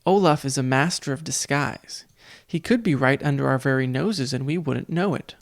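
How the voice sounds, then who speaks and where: A man's voice: mid-range voice, moderate pace, vocal fry, dry recording, lightly acted. Man voicing a determined, earnest girl. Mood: worried. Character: worried, determined girl, older orphan sibling, feigning playfulness.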